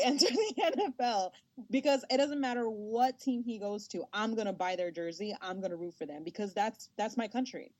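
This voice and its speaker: A young woman of Salvadoran family who grew up speaking Spanish first, telling stories in an animated, chatty way with many fillers, as the guest in an interview. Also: medium pitch, dry recording. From United States, Salvadoran family. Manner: animated storyteller, lots of fillers and laughs. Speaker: guest, sports journalist and host. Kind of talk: casual interview talk.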